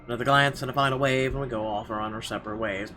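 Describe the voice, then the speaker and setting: A man's voice: mid-range voice, fairly even intonation, quick pace, bright, forceful tone. Man narrating dramatically over music. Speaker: let's player. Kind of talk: dramatic read-aloud narration of horror visual novel. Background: game music.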